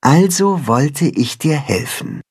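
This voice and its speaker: A storyteller voicing a gentle, motherly female farm cat who speaks softly and tenderly. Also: mid-range voice, expressive, slow pace, husky, pitched above the performer's own voice, full character voice. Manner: soft, tender, soothing.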